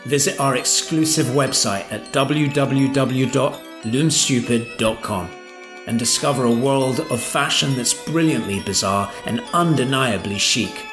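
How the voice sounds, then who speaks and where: Upbeat male announcer, slick promo read, clear music bed behind. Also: mid-range voice, unhurried. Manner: upbeat, polished promo delivery. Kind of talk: scripted commercial read.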